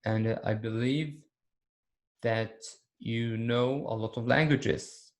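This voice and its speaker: A man teaching an English lesson on video, speaking slowly and repeating key words for his students. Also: mid-range voice, fairly even intonation.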